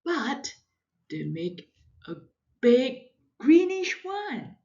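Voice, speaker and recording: Woman reading kids storybook, super expressive and singsongy pitch, really slow, kinda muffled recording. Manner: expressive, deliberate, dramatic emphasis. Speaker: parent reading a picture book aloud. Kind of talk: animated children's storybook narration.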